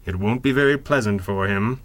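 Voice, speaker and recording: A suave masked crimefighter played by a man in an old-time radio serial. His voice is crisp-edged and forceful, recorded close and dry, with precise, condescending phrasing and cool superiority. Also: on the low side, a little fast, no room sound, lightly acted. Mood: coolly explanatory. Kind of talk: 1930s-style radio serial acting.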